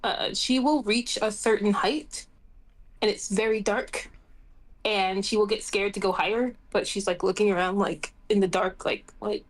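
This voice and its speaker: A woman with a medium-pitched voice plays a tabletop game. She talks casually and makes up her character's actions as she goes, thinking aloud in an informal way. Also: fairly even intonation, normal speed, poor audio. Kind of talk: casual improvised roleplay chat.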